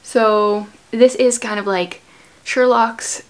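A woman's voice: a little high, steady tone, slow pace, a little hiss or hum. A woman in her thirties hosting a casual book chat at home, explaining things conversationally and prompting with questions. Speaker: host, homeschooling mother. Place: small furnished room at home, indoors.

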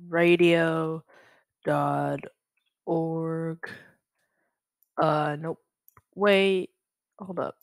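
A young man talks through a software tutorial at a slow pace. His delivery is informal and halting, as he thinks aloud, and the sound is dry and close, with no room echo. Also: on the high side, vocal fry. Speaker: tutorial presenter. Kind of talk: casual screen-recorded software tutorial.

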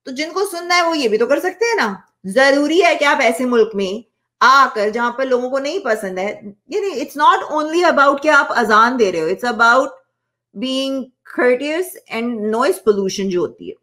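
High-voiced, emphatic woman vlogger. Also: lively intonation, measured pace, a bit bright. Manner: emphatic, blunt, coarse-spoken. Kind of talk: opinionated casual commentary to camera, switching between two languages. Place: small home office indoors.

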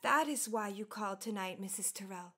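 A woman's voice: medium pitch, a little fast, fairly bright tone, close to an everyday voice. A woman playing an eager, anxious young sorority suffragette in a radio drama, earnest and questioning. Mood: eager, surprised.

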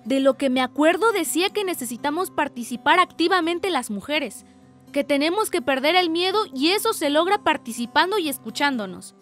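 An actress playing an enthusiastic young activist friend in a radio drama, eager in manner. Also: female voice, sits high, expressive, moderate pace, fairly bright tone, soft background music.

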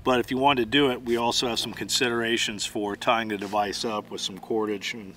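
Casting: middle-aged male demonstrator, lower-pitched, plainspoken and methodical, recorded outdoors with background noise. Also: flat delivery, brisk, bright, forceful tone. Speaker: host and demonstrator. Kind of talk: instructional how-to narration.

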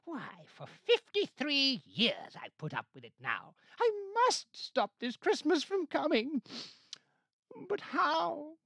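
A man's voice: extremely high pitch, wildly swooping pitch, slow pace, vocal fry, bright, forceful tone, no room sound, pitched above the performer's own voice, full character voice. A man voicing a sneering, snarling green creature who hates Christmas.

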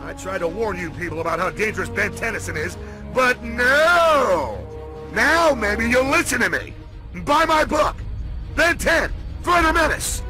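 A man's voice: very high voice, lively intonation, measured pace, bright, forceful tone, soft background music, exaggerated voice, low-quality recording. A man playing an alarmist American TV news commentator in a game, pompous and ranting with heavy emphasis. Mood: outraged. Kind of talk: sensational news report acting.

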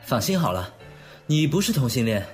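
Man playing a blunt, animated young best friend. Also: mid-range voice, moderate pace, soft background music, close to an everyday voice. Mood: sardonic, teasing. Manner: blunt, probing, sardonic. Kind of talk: audio drama acting.

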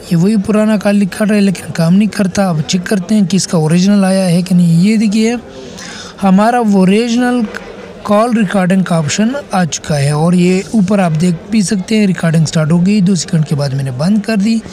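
A man with a high-pitched voice and a mellow, relaxed tone presents a phone repair tutorial. He talks casually and instructively, taking the listener through it step by step with frequent check-ins. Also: moderate pace. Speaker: tech tutorial host.

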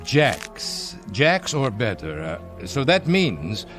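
Slow, halting, polite old man. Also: medium pitch, big pitch swings, fairly bright tone, soft background music. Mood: timid, polite. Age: older. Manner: formal, halting, mild-mannered. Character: hesitant, polite, naive old man needing money. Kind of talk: radio drama acting.

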